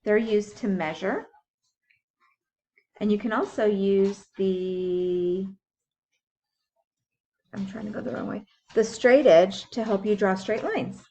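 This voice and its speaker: A woman's voice: medium pitch, takes it slowly, long pauses, dark tone, highs cut off. A woman teacher reading a science book aloud for young children, explaining things simply and putting questions to her listeners. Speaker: school teacher.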